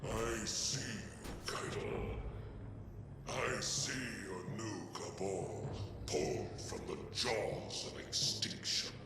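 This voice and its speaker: A man voicing a huge, imperious alien warlord in a video game, with a deep growling voice and a slow, grandiose, menacing delivery. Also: background noise. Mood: grave, awed. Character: huge, imperious, dying alien warlord nightmare, male, contemptuous. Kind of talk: scripted video game dramatic acting. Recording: heavy echo under loud music. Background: loud game music.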